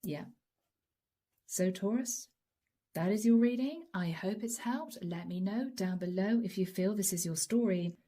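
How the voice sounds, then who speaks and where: Soft-spoken, mellow-voiced tarot reader woman. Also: medium pitch, a little slow. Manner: soft, intimate, often drops to a whisper. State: hoarse from a long session. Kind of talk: channeled tarot reading to camera.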